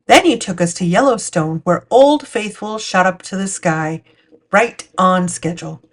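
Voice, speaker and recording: A woman's voice: lowish voice, a little slow. A woman narrating a picture book for children as a storytime host. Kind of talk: storytime read-aloud narration.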